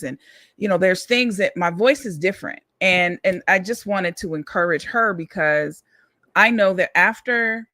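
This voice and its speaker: A woman with a slightly raspy voice, speaking earnestly and encouragingly as a singer being interviewed in a heartfelt conversation. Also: lowish voice, pushed and bright, dry recording, studio quality. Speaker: guest singer and songwriter.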